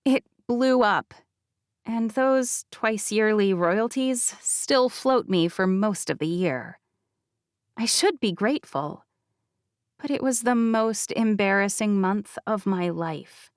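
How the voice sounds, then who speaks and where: A woman narrating a first-person novel as an audiobook, with expressive, wry delivery. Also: medium pitch, takes it slowly, dry recording, clean studio sound.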